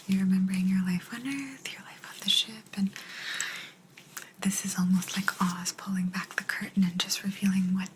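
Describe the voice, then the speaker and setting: A woman voicing an aloof, secretive alien abductor in a soft, breathy, unhurried whisper for an ASMR sci-fi roleplay. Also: medium pitch, steady tone, background noise, natural voice. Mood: calm, aloof. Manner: unhurried, hushed, personal attention. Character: aloof female alien abductor, calm, secretive, gently apologetic.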